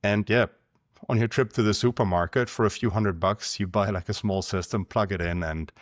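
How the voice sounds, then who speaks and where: A man from Germany with a German accent, explaining things in a measured way as a podcast guest. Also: lowish voice, fairly even intonation, no room sound, studio quality. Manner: measured, explanatory, numbers-driven. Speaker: guest, company founder. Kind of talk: podcast interview.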